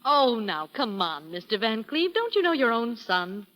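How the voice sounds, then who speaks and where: A woman's voice: fairly high pitch, big pitch swings, fairly bright tone. Coy, sly showgirl, lilting and reverberant. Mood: teasing. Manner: coy, teasing, drawn-out phrases. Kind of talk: 1940s radio acting. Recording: reverberant 1940s radio broadcast.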